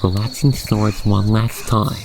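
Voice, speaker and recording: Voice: medium pitch, steady tone, unhurried. A narrator telling a second-person ASMR roleplay story in a wordy, playful storybook manner. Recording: faint background noise, cricket night ambience.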